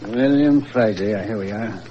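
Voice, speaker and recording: An actor playing a skeptical, matter-of-fact police inspector in an old-time radio thriller. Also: male voice, fairly low pitch, a little slow, close to an everyday voice. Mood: grim, brisk. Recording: dull, slightly noisy old radio recording.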